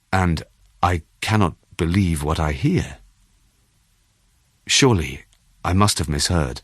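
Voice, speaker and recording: A man with a low-pitched voice reads literary first-person fiction. His storytelling is measured and expressive, and the sound is dry, with very little room on it. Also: dry recording. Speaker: audiobook narrator.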